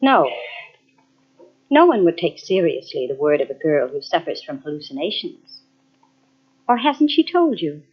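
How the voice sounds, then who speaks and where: An actress playing a cold, jealous, unstable twin in an old-style radio mystery, with a clipped, haughty delivery. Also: female voice, deep voice, very animated, measured pace, long pauses, husky, full character voice. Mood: cold, defiant. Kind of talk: 1940s radio mystery acting. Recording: dull lo-fi old radio tape.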